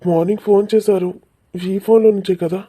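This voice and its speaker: Woman voicing a polite caller, very dark, husky voice. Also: medium pitch, steady tone, brisk, natural voice. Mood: polite, inquiring. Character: polite caller on the phone in an animated love story. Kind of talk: animated story dialogue.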